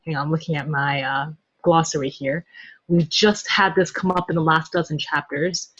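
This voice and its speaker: A woman's voice: deep voice, fairly even intonation, normal speed, a bit bright, husky. Playful woman guest on dull lo-fi audio. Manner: playful, giggly, thinking aloud. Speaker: podcast guest, translator. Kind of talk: casual interview chat.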